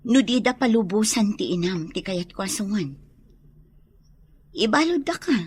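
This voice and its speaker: An actress playing a concerned, protective elder-sister figure in a Filipino radio drama. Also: female voice, mid-range voice, expressive, moderate pace, a little hiss or hum, lightly acted. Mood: concerned, cautioning.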